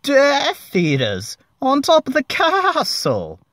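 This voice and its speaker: A man voicing a panicky teenage wizard boy in a high, strained, bleating voice for a story reading. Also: huge pitch swings, slow pace, no room sound, heavily acted. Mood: panicked. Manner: loud, bleating.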